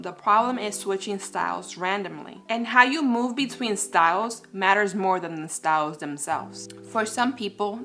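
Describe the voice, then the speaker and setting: A woman who grew up in the Dominican Republic, giving a calm, scripted explainer on hair care. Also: medium pitch.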